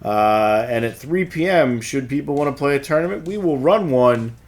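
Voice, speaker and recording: A man's voice: medium pitch, lively intonation, moderate pace. A man co-hosting an informal store news segment, reading announcements and bantering casually. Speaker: co-host. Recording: music bed under the voice. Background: music.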